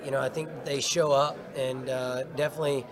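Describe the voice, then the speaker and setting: A man with a mid-pitched voice answers casually as an interviewed athlete. A crowd is clearly audible around him. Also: flat delivery, a little fast. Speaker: racing driver being interviewed. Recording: clear crowd noise behind.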